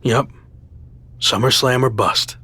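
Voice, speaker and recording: A man's voice: medium pitch, steady tone, slow, sharp tone, husky, no echo, exaggerated voice. A man playing a determined, embattled wrestler with terse, dry delivery in a video game. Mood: dry, resigned. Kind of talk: naturalistic video game dialogue.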